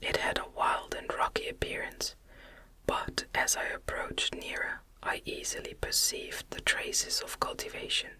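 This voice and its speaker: Soft whispering ASMR narrator. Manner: slow, soothing cadence. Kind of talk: whispered ASMR audiobook reading of a classic novel.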